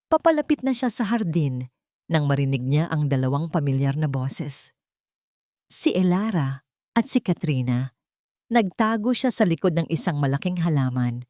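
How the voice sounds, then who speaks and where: A woman's voice: deep voice, sing-song, moderate pace, long pauses, darkish tone, no room sound, studio quality. A woman narrating a scripted romantic story in a dramatic style.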